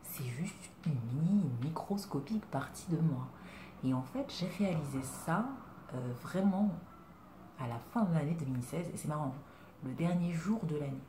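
A young woman from Congo talking to her webcam in a small room, earnest and reflective, pausing often and asking rhetorical questions. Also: low voice, lively intonation, echoey room, background noise. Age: young adult. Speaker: vlogger. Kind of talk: personal talk to camera. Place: indoors, small room, plain wall. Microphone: webcam at desk distance.

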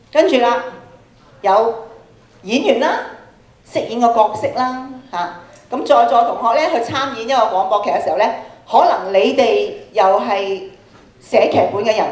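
A woman's voice: medium pitch, a little mellow. A woman with a Hong Kong Cantonese accent teaching a workshop to students, prompting them with questions and repeating phrases for emphasis, speaking on a handheld microphone in a large auditorium. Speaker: workshop speaker, radio drama trainer. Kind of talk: interactive lecture to students. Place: large school auditorium, indoors. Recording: echoey auditorium PA sound.

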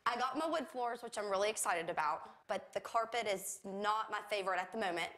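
A woman's voice: mid-range voice, brisk, a bit bright. A woman homeowner giving candid, somewhat hesitant reactions to her renovated room. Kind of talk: casual reactions on camera.

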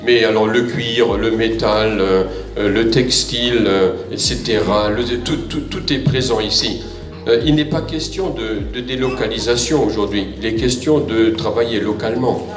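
A man's voice: fairly low pitch, moderate pace, far from the mic, music in the background. Older man speechmaking in an echoey hall. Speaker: local elected councillor giving a speech. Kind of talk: semi-formal off-the-cuff public speech. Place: large indoor foyer at a public event.

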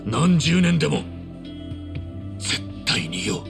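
An actor playing a loyal, earnest street-tough man in a game cutscene, speaking roughly and from the heart. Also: a little high, sing-song, slow pace, music in the background, exaggerated voice. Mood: heartfelt, urging. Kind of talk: dramatic game cutscene acting.

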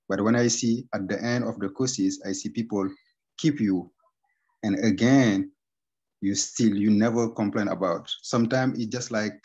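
Male student, Senegalese accent, slightly low voice, halting and repetitive, over a dull lo-fi call. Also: a little mellow, breathy edge. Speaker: student giving thanks.

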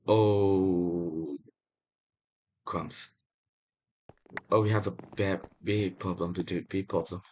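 A young man casually commenting on his own gameplay, excitable and talking to viewers, often repeating himself. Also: fairly low pitch. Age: young adult. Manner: excitable, talks to viewers while playing, repeats phrases. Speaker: gaming channel host. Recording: loud background noise.

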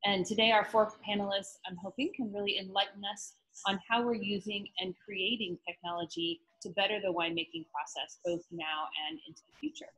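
A middle-aged woman with a husky, breathy edge to a medium-pitched voice moderates a panel. She talks informally over a video call from home. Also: fairly even intonation, a little fast, fairly bright tone, low-quality recording.

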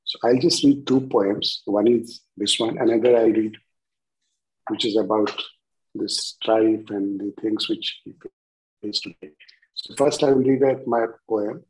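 Deliberate older Indian man reciting poetry. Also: lowish voice, fairly even intonation, a little mellow, poor audio. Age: 60s. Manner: deliberate, pausing between short phrases. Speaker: poet reading own work. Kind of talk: introducing and reciting poems. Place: indoors at home on a video call. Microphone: video-call device microphone.

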